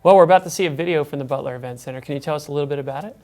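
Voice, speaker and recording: A man's voice: on the high side, very fast, a little mellow. A man hosting a TV talk show, introducing guests and reading a countdown list in an upbeat announcer style.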